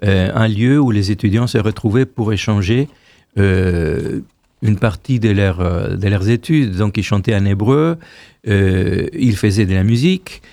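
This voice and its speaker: A man in his sixties from Argentina, whose first language is Hebrew, telling stories slowly as a choir director being interviewed, often restarting his phrases. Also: fairly low pitch, creaky, dark tone, dry recording. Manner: unhurried storytelling, repeats and restarts phrases. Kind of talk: radio interview.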